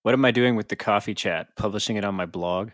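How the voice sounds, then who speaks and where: Low voiced guy on a sports podcast, just chatting with the others. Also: fast, a bit bright. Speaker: podcast panelist. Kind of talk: casual sports chat.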